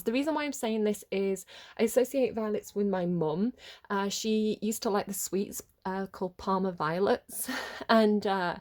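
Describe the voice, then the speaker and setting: A woman's voice: mid-range voice, a little mellow. Chatty, sniffly woman. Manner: rambling, chatty, frequent fillers and laughs. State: sniffly from a cold. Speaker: tarot reader. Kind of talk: casual tarot reading chat.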